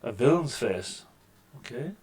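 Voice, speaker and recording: A man with a deep voice hosting a casual let's-play, making wry, joking remarks over the gameplay at a desk microphone. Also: lively intonation, measured pace, a little mellow, husky. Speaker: gaming channel host. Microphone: desk microphone with mixer.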